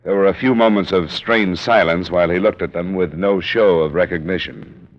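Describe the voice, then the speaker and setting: A man's voice: low-pitched, moderate pace, close to an everyday voice. A man playing a hard-boiled male insurance investigator, narrating in a dry, clipped first-person way in a 1950s radio drama. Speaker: narrator and lead character. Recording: dull old radio broadcast.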